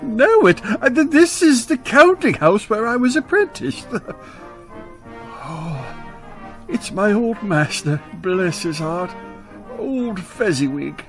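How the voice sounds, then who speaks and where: A man playing a frightened, remorseful elderly miser in a radio drama, stammering and halting with theatrical emotion. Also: high-pitched, sing-song, a bit bright, soft background music, full character voice. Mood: delighted, nostalgic.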